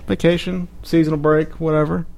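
A man's voice: fairly high pitch, level tone, fairly quick, creaky, darkish tone. A man co-hosting a podcast, chatting casually with a joking, teasing, crude manner. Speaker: podcast co-host. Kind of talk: casual chat.

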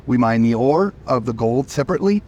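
A man's voice: medium pitch, lively intonation, a little fast, warm tone, lo-fi sound, a little hiss or hum. Emphatic man losing his voice. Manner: emphatic, repeats words when starting. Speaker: company chief executive. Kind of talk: interview answers, pitching.

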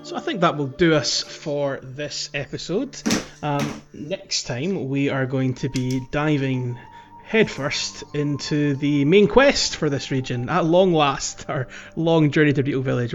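Young male podcast host, mid-pitched, friendly, slightly muffled audio with faint music. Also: a little slow. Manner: friendly, laughs often, steers the talk. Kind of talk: casual gaming podcast chat.

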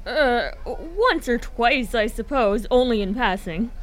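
A woman playing an excitable, bumbling young upper-class gentleman in a radio drama, full of flustered old-fashioned slang. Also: on the high side, slow, rough recording.

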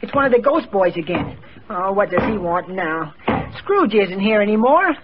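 A man's voice: high-pitched, big pitch swings, normal speed. A man playing a bumbling, boyish husband with hesitant, eager delivery in 1940s radio comedy style. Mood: excitable, hapless. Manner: hesitant stammering, eager exclamations. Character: bumbling, boyish, excitable poor family man. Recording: muffled, noisy old radio broadcast.

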